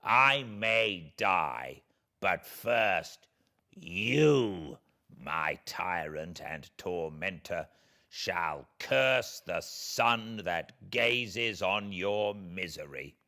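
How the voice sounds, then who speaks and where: A man voicing a vengeful, wretched monster in a classic novel reading, declaiming eloquent threats in drawn-out words. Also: deep voice, lively intonation, creaky, bright, forceful tone, full character voice. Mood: menacing, vengeful.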